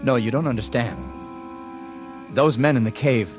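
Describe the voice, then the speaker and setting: An actor playing a shaken, conscience-stricken army lieutenant in a radio drama, speaking hesitantly. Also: male voice, mid-range voice, over music, close to an everyday voice. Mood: pleading, troubled. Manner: hesitant, halting.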